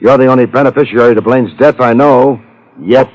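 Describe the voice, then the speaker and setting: An actor playing a cool, wisecracking private detective in a 1950s radio drama, dry and sardonic. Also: male voice, mid-range voice, steady tone, fairly quick, lightly acted. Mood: probing. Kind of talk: 1950s radio detective acting, with first-person narration. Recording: muffled old-time radio recording.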